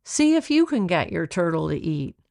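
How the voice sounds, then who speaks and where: A woman voicing a patient, knowledgeable female turtle rescuer in a storybook, explaining things gently. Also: fairly low pitch, big pitch swings, normal speed, gravelly, no room sound, clean studio sound. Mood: gentle, instructive.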